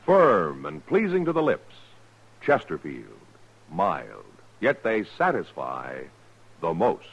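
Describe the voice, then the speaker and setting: A man's voice: low-pitched, huge pitch swings, slow. American guy announcing on 50s radio, big swoopy delivery, music under him, kinda muffled. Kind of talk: 1950s radio announcing, scripted. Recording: muffled 1950s radio broadcast, music behind.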